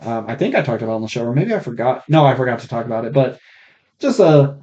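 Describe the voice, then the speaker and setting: A man's voice: medium pitch, lively intonation, fast talker, warm tone. A man co-hosting a podcast in casual movie review chat, blunt, sweary and rambling. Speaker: podcast co-host. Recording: muffled, rough faulty podcast mic.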